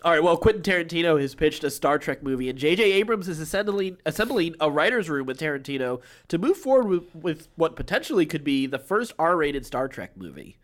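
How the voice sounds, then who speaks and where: Male podcast co-host, lively swings in pitch, slightly high voice, loose and rambling casual chat. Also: a little fast, hardly any pauses. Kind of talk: casual pop-culture news chat.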